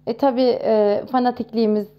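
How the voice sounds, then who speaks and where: A woman in her thirties talking casually to camera in a chatty, expressive way, showing off nostalgic things. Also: a little high, fairly quick, muffled recording. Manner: chatty and expressive, with frequent exclamations. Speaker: vlogger.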